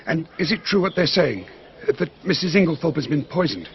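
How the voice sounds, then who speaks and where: High-voiced, jittery chemist's assistant. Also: male voice, very animated, normal speed, lo-fi sound. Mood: nervous urgency. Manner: stammering, agitated. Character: anxious, hesitant young English chemist's assistant. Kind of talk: radio drama dialogue.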